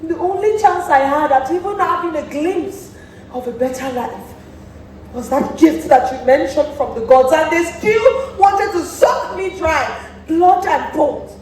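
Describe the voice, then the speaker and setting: A woman's voice: very high-pitched, measured pace, darkish tone. A Nigerian woman acting an anguished, defensive woman in a stage play, speaking in an emphatic, declamatory way. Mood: desperate, pleading. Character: anguished, defensive woman pleading her case. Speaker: student stage actor. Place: theatre stage, recorded from a distance. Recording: echoey stage hall, distant mic, faint noise.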